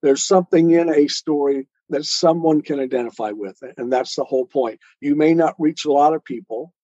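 A man's voice: on the high side, normal speed, dark tone, dry recording, lo-fi sound. A man speaking as an interview guest, explaining his views as an author in a reflective, conversational way. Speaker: guest author, storyteller and podcaster.

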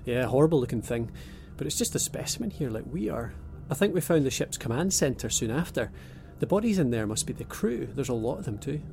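A man voicing a dry-humoured young abductee with a gravelly, creaky edge to his voice. Over a prominent music score in an audio drama, he keeps a sardonic calm. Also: medium pitch, a little fast, few pauses. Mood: wry. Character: wry young man named Paul, abductee.

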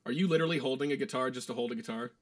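A man's voice: mid-range voice, rapid-fire. A man with an American accent chatting casually and teasingly as a co-host on a podcast.

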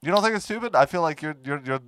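A man, co-hosting a casual comedy podcast and telling stories in an animated way, close to a microphone. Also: a little high, clear, resonant tone, no echo. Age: 20s. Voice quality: nasal. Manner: animated storytelling, quick to laugh. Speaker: podcast co-host.

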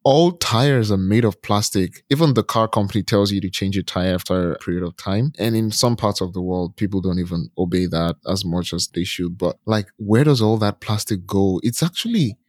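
A man with a Nigerian accent talks casually as a podcast co-host. His voice is low, and the sound is dry with almost no room in it. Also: normal speed, hardly any pauses, a little mellow, no room sound. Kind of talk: casual conversation.

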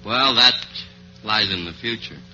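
Young man, low and very bright voice. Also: a little slow, faint music behind. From Boston, Massachusetts. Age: young adult. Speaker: quiz show contestant, antique dealer. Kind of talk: chatty answers to a host. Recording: dull, noisy old radio recording.

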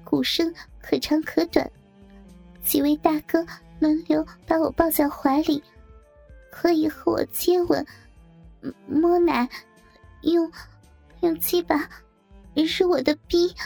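A frightened, submissive character, put on by a woman narrator, reciting haltingly in an audiobook. The voice is pushed high and sounds pained, with music behind it. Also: fairly even intonation, gravelly, darkish tone, full character voice. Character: trembling, distressed person reciting under duress.

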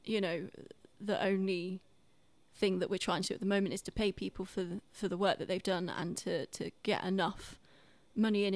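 A woman's voice: mid-range voice, no echo. A woman talking casually and earnestly on a podcast, explaining things in an explanatory way.

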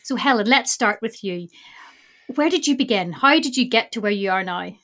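A woman's voice: mid-range voice, lively intonation, moderate pace, bright, forceful tone. A woman co-hosting a podcast, reading an upbeat intro and asking her co-host questions with enthusiasm. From Ireland. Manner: upbeat, enthusiastic, laughs along. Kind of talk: scripted podcast intro, then interviewing.

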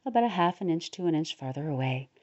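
A woman leading a guided relaxation meditation in a soothing, unhurried manner, pausing between short phrases. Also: low-pitched, expressive, darkish tone, highs cut off. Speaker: guided meditation leader, podcast host.

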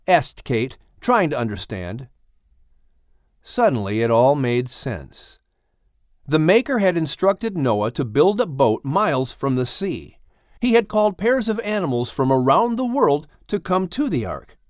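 A man's voice: fairly high pitch, sing-song, a little slow, no room sound, studio quality. A man reading a dramatic story as an audiobook narrator in a scripted storytelling style.

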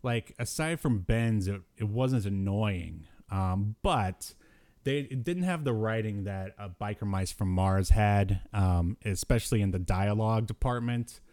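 A man hosting a podcast, chatting casually about old cartoons in a halting, hesitant way. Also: fairly low pitch, a little mellow. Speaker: podcast host.

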